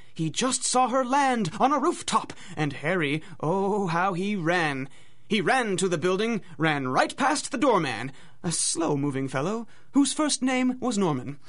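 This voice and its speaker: A woman's voice: mid-range voice, a bit bright. A woman narrating a scripted rhymed adventure story, with a dramatic, singsong rhythm.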